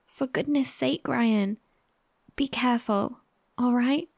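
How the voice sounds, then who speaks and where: A woman's voice: fairly high pitch, slow pace, vocal fry, dry recording, exaggerated voice. A woman acting in an audio drama as an anxious, caring radio host to survivors, with a hesitant manner. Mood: worried pleading.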